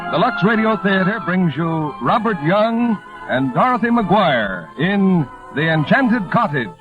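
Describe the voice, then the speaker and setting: A man's voice: high-pitched, big pitch swings, measured pace. A man announcing a 1940s radio program in a grand, projected showman style. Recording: muffled 1940s radio broadcast, loud music. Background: music.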